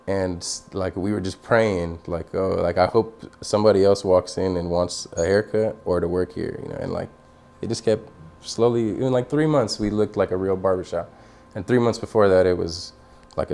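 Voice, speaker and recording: A man's voice: low voice, normal speed, creaky, a little mellow. An American man in his thirties talking casually and in a laid-back way in a documentary interview. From San Diego, California, United States. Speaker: barbershop owner. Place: inside a busy barbershop. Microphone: lapel mic. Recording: background noise of busy barbershop.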